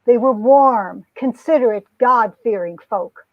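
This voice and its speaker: Woman playing a high-voiced romantic young woman. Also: unhurried. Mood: earnest, wistful. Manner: expressive, earnest storytelling. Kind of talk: dramatic reading of 1950 romance comic. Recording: dull, roomy lo-fi recording.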